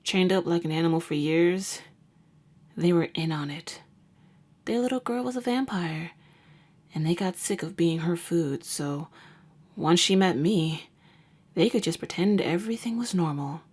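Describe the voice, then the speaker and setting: A woman playing a jaded, wry vampire hunter and nurse who is secretly caring, in an intimate ASMR roleplay that drifts into breathy murmurs. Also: on the low side, animated, measured pace, pauses often, darkish tone. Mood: bitter, haunted.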